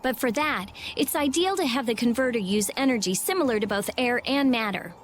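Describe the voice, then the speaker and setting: An actress voicing a brainy, blunt teenage girl mage in a video game, with a brisk, matter-of-fact, snappy delivery. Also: fairly high pitch, expressive, slight background noise, natural voice. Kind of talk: animated game voice acting.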